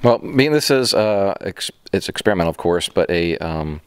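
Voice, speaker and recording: A man's voice: low voice, animated, normal speed, clean tone, no room sound. A middle-aged man hosting an interview in an open hangar, asking long, rambling questions with restarts into a handheld mic. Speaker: channel host interviewer.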